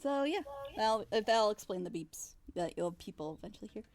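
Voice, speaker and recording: A woman's voice: mid-range voice, expressive, a little fast. A woman hosting a casual group commentary chat, speaking in a hedging, self-critical way. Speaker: host of a group reaction discussion. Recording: noisy mic with clicks.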